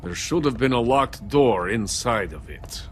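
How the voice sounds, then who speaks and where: An actor playing a bitter, drunken, proud older Soviet weapons scientist in a video game, theatrical, deliberate and brooding. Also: male voice, mid-range voice, lively intonation, soft background music, close to an everyday voice. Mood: confiding, instructive. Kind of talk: video game cutscene acting.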